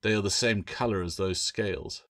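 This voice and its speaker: Man playing a grim, low-voiced pirate captain. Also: fairly bright tone, close to an everyday voice. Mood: grave, wary. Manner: measured, ominous, firm. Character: grim, wary, tall male pirate captain.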